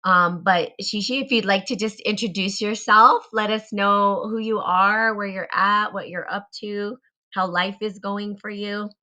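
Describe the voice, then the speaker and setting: Bright-voiced woman hosting a live show. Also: medium pitch, steady tone, a little slow. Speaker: host of a live online show. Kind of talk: casual live show introduction.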